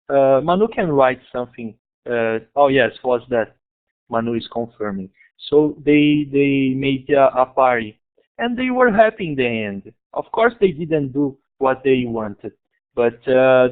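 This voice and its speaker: Brazilian guy talking about his school, halting english, lots of restarts, tinny web call sound. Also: medium pitch. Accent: Brazilian Portuguese. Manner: hesitant, halting non-native English with many restarts. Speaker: guest speaker, school founder. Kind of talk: online conference presentation. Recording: tinny online call audio.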